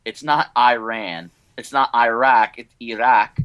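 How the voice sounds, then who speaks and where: A man's voice: mid-range voice, steady tone, a little slow, fairly bright tone, clean tone. A young American man chatting casually as a podcast co-host, in an opinionated, rambling fan manner. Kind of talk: casual panel chat about films and animation.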